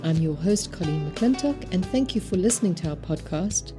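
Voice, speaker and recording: A woman's voice: on the low side, expressive, normal speed. Polished woman host over guitar music. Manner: polished, welcoming, reads the intro. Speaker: podcast host. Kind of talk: scripted introduction and interview. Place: studio. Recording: music bed under the voice.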